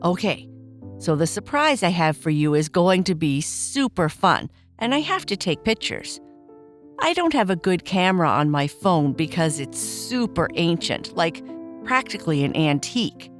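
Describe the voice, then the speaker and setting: A woman voicing a chatty, excitable preteen girl who talks in a bubbly, upbeat way. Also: fairly low pitch, normal speed, faint music behind. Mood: excited.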